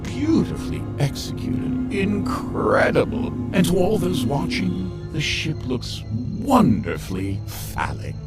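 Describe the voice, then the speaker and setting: A man's voice: sits high, huge pitch swings, slow pace, hardly any pauses, loud music behind the voice, full character voice. A man voicing a witty, flamboyant male starship AI with theatrical, wry asides.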